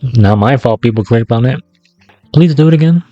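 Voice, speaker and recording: A man's voice: medium pitch, normal speed, highs cut off, faint music behind. A man narrating a casual scripted YouTube commentary in a sarcastic, slangy, profane way. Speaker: YouTube video essayist.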